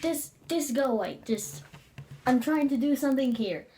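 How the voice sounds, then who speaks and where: A woman voicing an exasperated puppet host in a halting, repetitive way, in an amateur puppet comedy. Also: high-pitched, expressive, warm tone, a little hiss or hum. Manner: halting, exasperated, repeating phrases.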